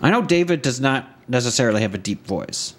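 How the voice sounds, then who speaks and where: A man's voice: mid-range voice, sing-song, fairly quick, fairly bright tone. A man casually chatting as a podcast host, joking, swearing freely and laughing often.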